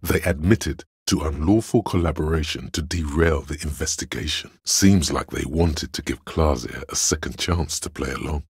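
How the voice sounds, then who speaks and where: Very deep, husky voice of reason. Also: male voice, dry recording, studio quality, full character voice. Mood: measured, analytical. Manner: measured, deliberate, dryly sardonic. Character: cool analytical male inner voice of reason, dry and skeptical. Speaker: voice actor. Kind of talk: video game inner-voice narration.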